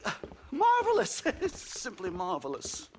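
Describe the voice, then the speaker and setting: A man playing a nervous, pompous, eccentric professor in a video game, stammering and excitable in a condescending way. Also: sits high, measured pace, a little hiss or hum, exaggerated voice. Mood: giddy, condescending.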